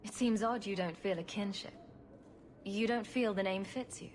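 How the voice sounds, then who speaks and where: Woman as a wry rogue heroine, mid-pitched. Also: moderate pace, lightly acted. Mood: gentle probing. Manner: wry, flippant, teasing. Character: wry, sarcastic rogue heroine, quick-witted adventurer. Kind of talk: video game voice acting. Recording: faint background ambience noise.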